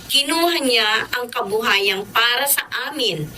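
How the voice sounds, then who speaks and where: A woman's voice: on the high side, animated, a little slow, bright, forceful tone. An actress playing a bitter, accusing young married Filipino woman airing her grievances in a radio drama. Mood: bitter, pained. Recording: clearly noisy background ambience.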